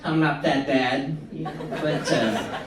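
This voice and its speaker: A young man performs stand-up in a very echoey club, picked up from far away. His delivery is hesitant and self-deprecating, with a highish voice that swings up and down. A crowd is audible behind him. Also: steady tone, slow. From Missouri, United States. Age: 20s. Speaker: stand-up comedian. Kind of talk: stand-up comedy set. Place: indoor comedy venue. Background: audience laughter.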